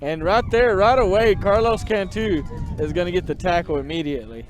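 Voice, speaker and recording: A man doing color commentary at a high school football game outdoors, easygoing and laughing often. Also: brisk.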